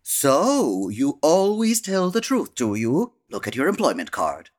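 A man's voice: a little high, huge pitch swings, unhurried, exaggerated voice. An actor playing a nervous, flustered male store manager in a radio comedy, stammering and hesitant. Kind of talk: comedic radio play acting.

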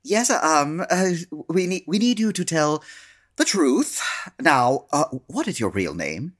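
Anxious store manager, played by a man: stammering, hesitant, pitch jumping about, flustered radio-comedy delivery. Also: very animated, unhurried, a bit bright, full character voice. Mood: nervous, hesitant. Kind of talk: comedic radio play acting.

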